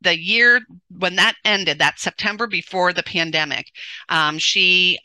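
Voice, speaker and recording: A middle-aged woman speaking as a parenting coach in a relaxed interview, with a loud, forceful and very bright voice. She gestures animatedly and often restarts her sentences. She is on a headset from home, and the sound is close and dry. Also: on the low side, unhurried, dry recording, low-quality recording. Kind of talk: casual interview conversation. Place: home room, indoors. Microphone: headset on a video call.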